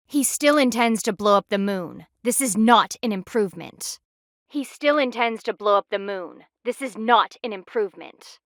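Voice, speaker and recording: A woman's voice: medium pitch, animated, moderate pace, fairly bright tone, no echo, studio quality. A woman voicing a stern, proud female panda warrior in a video game, with formal, clipped and dryly sardonic diction. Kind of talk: video game character voice lines.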